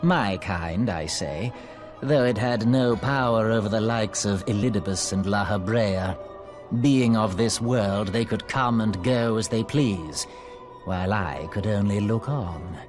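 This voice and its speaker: An actor playing an arrogant, sinister sorcerer villain in a video game, with pompous, theatrical, archaic diction. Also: male voice, lowish voice, a little slow, music in the background, close to an everyday voice. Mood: haughty, menacing. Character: arrogant, sinister male dark sorcerer villain, gloating and condescending.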